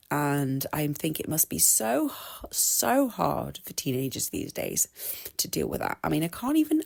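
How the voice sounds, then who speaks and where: A woman's voice: on the low side, creaky, a little mellow. A woman in her thirties with an English accent hosts her own podcast in a rambling, chatty monologue, a little congested from an oncoming cold. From England. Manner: chatty, rambling, with many restarts and laughs. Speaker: podcast host. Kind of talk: casual solo podcast monologue.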